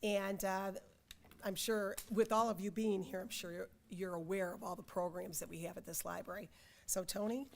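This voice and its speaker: Hoarse older woman speaking at a podium. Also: mid-range voice, steady tone, a little hiss or hum. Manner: chatty, halting with fillers and restarts. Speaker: county commissioner. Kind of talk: ceremony speech. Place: library dedication ceremony.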